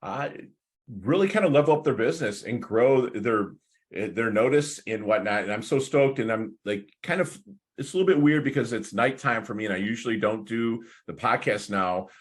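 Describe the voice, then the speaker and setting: A man hosting a podcast, excitable and rambling, stumbling over his words as he asks questions. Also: medium pitch, moderate pace. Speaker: podcast host. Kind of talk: casual interview.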